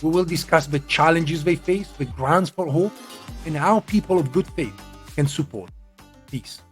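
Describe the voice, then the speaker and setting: A man hosting a podcast, his voice sitting a little high for a man. He reads his opening with slow, deliberate drama and asks his questions with soft music faint behind him. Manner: deliberate, dramatic pacing in the intro. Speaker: podcast host. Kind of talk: scripted intro then interview questions.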